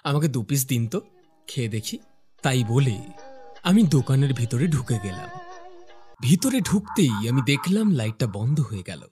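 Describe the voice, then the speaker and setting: Guy playing curious young office worker telling a spooky cartoon story, very animated pitch, light music under. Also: normal speed, close to an everyday voice. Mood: uneasy, questioning, then suspenseful. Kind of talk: scripted first-person narration with acted dialogue.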